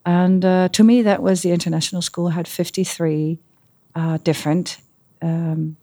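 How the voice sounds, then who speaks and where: A middle-aged woman from the Netherlands speaks English with a Dutch accent in an office interview. Her voice sits very low for a woman, and she talks in a lively, open way, now and then pausing to find an English word. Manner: lively, searching for English words. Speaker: podcast guest. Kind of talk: casual interview conversation.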